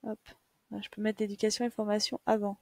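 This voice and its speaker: A woman's voice: medium pitch, level tone, fast, no echo, clean studio sound. Patient woman teaching a screen tutorial. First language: French. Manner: patient, step-by-step, many fillers. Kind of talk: screen-share tutorial lesson.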